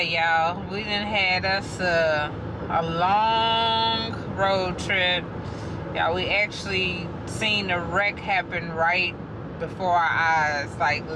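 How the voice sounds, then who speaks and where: A woman's voice: lowish voice, background noise. A woman, filming a casual road trip vlog from inside a car on a phone held close and talking to viewers. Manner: chatty, addresses viewers as y'all. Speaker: vlogger. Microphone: phone camera held close. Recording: music playing loud behind.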